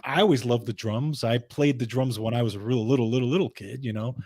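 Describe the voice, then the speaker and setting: Middle-aged man, average pitch, dry sound with little echo, casual co-host chat, reminiscing. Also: quick pace, darkish tone. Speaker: co-host and drummer.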